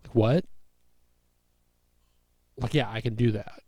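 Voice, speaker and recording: A young man, a comedian, talking through a personal vlog in a rambling way with a raspy voice and many fillers. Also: mid-range voice, slow pace, mellow, dry recording, studio quality. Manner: rambling, full of fillers and restarts.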